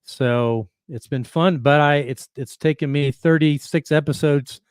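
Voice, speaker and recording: A man hosting a talk show, speaking in a friendly, halting way with many restarts. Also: a little high, smooth, no room sound, clean studio sound. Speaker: podcast host. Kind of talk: casual interview chat.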